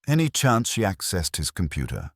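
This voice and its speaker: A man's voice: low voice, huge pitch swings, moderate pace, slightly rough, dry recording, clean studio sound, voice pushed up, exaggerated voice. A man voicing a wry, cool private investigator in a detective audiobook, wisecracking as he probes. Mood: wry, probing. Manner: wisecracking, probing.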